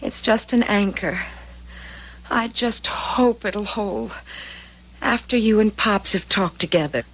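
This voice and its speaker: A woman's voice: mid-range voice, slow, rough recording. A young American woman acting in an old-time radio drama as a worried, protective daughter, earnest and pleading. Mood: anxious, pleading. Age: young adult.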